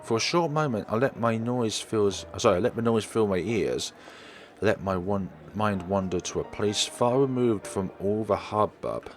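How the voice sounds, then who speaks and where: A man reading first-person story narration aloud for a let's play, expressively but haltingly, sometimes stumbling and correcting himself. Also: on the low side, slight background noise. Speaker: let's player reading a visual novel aloud. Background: background music.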